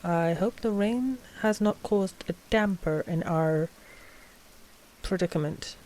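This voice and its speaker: A woman playing an anxious, tender vampire noblewoman in an ASMR roleplay, speaking softly and hesitantly with sighs. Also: fairly low pitch, slow pace. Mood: nervous, formal. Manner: soft, hesitant, sighing.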